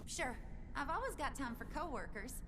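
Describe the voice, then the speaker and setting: A woman playing a sweet, hopeful young cattle hand in a video game, with a soft, folksy, earnest manner. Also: high voice, animated, moderate pace. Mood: friendly, grateful. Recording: loud vehicle and ambient game noise.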